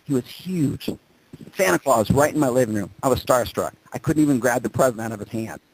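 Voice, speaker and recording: A man speaking as a motivational presenter, telling personal stories and driving points home with emphatic repetition and dramatic pauses. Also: mid-range voice, lively intonation, breathy edge, no echo, rough recording. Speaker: motivational speaker presenting on a group conference call. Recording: conference call audio.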